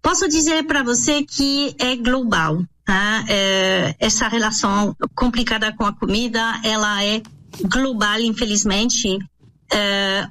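A middle-aged woman from France with a French accent, a native French speaker, explaining as an expert guest in an interview, halting and repeating words as she searches for them. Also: a little high, a bit bright.

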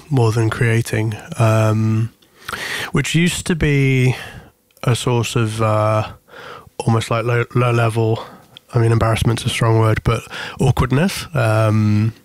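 A man's voice: on the low side, no room sound. A man in his thirties who grew up in Greece, a musician giving thoughtful, hesitant interview answers full of restarts. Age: 30s. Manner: hesitant, many restarts and fillers. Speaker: musician guest.

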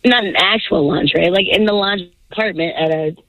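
A woman's voice: on the low side, quick pace, no room sound, dull audio. A woman with a naturally scratchy voice, calling in by phone from her car. She talks in a brash, playful, rambling way. The sound is close and dry. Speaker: caller. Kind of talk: casual phone-in chat.

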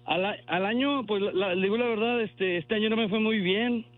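A man from El Paso, Texas, calls into a radio show, speaking hesitantly with plenty of fillers. His fairly high voice comes through a dull, lo-fi phone line with a little music behind it. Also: moderate pace. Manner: hesitant, lots of fillers, shy laughs. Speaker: caller.

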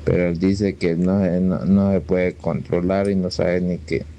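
Slow, deep-voiced man caller. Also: level tone, gravelly, warm tone, slight background noise. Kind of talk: phone call to a radio show.

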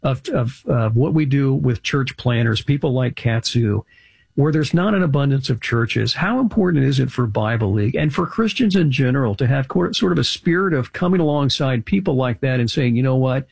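A man's voice: mid-range voice, expressive, normal speed, vocal fry, a little mellow, slightly rough, dry recording. A man hosting a radio show, telling an earnest story and tagging his points with "right?". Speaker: radio host. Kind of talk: radio appeal conversation. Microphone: studio microphone. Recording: dry radio studio.

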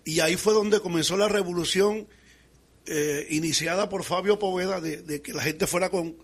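A middle-aged man with a Caribbean Colombian accent talks in a conversational, reminiscing way. His voice sits high for a man and has a husky, rough edge. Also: moderate pace. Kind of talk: conversational reminiscing about football.

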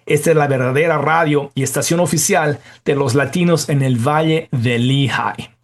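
A man's voice: on the high side, fairly even intonation, normal speed. A man hosting a radio interview show as a doctor, speaking in a formal, courteous and articulate way. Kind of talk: scripted introduction and radio interview.

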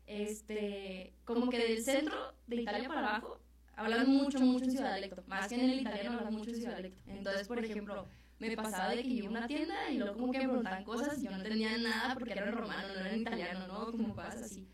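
A woman's voice: on the high side, quick pace, very echoey, far from the mic. A young woman, a student guest chatting in a radio interview with lots of fillers. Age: young adult.

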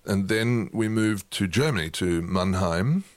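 A low-pitched middle-aged man chats easily as an interview guest. He is wry and self-deprecating and laughs often. The sound is close and dry, with no room around it. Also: lively intonation, a little slow, no echo. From England. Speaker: guest, opera music head. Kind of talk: relaxed interview conversation.

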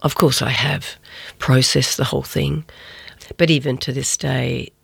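A woman's voice: a bass voice, fairly even intonation, unhurried, gravelly, husky, no echo. A woman journalist answering interview questions in a subdued, reflective way. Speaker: journalist guest.

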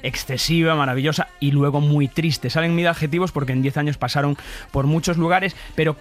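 Male guest commentator, higher register, enthusiastic and wordy conversational storytelling, music behind. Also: fairly even intonation, brisk. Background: background music.

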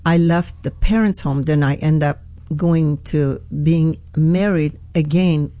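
A woman from Iran with an Iranian accent, a pastor telling her story in a radio interview, earnest and halting with many restarts. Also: deep voice, steady tone, mellow, no echo. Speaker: pastor, guest.

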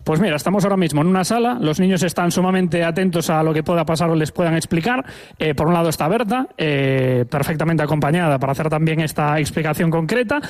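A man's voice: fairly high pitch, quick pace. A man reporting live for radio an indoor event, chatty and upbeat as he interviews people. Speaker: field reporter. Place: indoor room with children.

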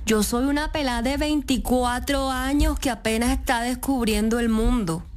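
A woman's voice: on the high side, normal speed, a little mellow, close to an everyday voice. A woman voicing a defensive, whiny twenty-four-year-old with a Colombian Caribbean accent in a satirical radio impersonation. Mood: indignant. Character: defensive, whiny 24-year-old female political figure parody.

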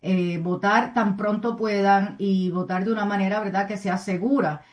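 A woman speaking as a community advocate in an interview, earnest and somewhat halting, often adding tag questions. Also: mid-range voice, fairly even intonation, highs cut off. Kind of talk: interview answers, civic advocacy.

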